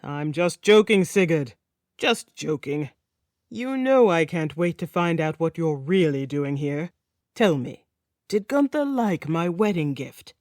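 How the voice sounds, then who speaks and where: A woman voicing a genial, fastidious, teasing king with a Nordic accent and a casually menacing streak, in an audiobook saga. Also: sits low, lively intonation, darkish tone, no room sound, studio quality.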